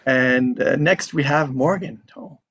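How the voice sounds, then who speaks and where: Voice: mid-range voice, takes it slowly, fairly bright tone, slightly rough, highs cut off. Casual host with mid-range voice. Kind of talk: casual moderating of a group.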